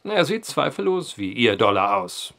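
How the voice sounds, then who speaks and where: An actor playing an insistent inquiring gentleman in a radio drama, dryly witty and precise. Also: mid-range voice, sing-song, moderate pace, gravelly, full character voice. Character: dry, insistent, well-informed inquiring gentleman.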